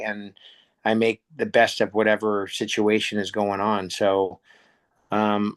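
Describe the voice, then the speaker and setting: A man's voice: lowish voice, fairly bright tone. A middle-aged American man talking casually and reflectively as an interview guest, plain-spoken and often searching for words. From United States. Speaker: interview guest, air show pilot.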